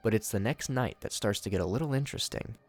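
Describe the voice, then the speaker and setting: A man with a fairly low voice narrates as the host of a commentary video. He moves between scripted storytelling and his own remarks. Faint music plays underneath him. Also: fast talker. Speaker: video host reviewing horror shorts.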